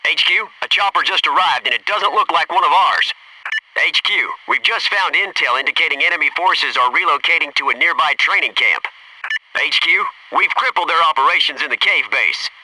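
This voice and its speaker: A sharp, forceful Navy SEAL soldier. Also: male voice, fairly high pitch, expressive, normal speed, distant mic, slight background noise, rough recording. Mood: businesslike reporting. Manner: clipped, tactical, matter-of-fact. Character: focused, businesslike male Navy SEAL soldier reporting in. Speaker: video game voice actor. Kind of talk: military game voice lines, terse radio callouts.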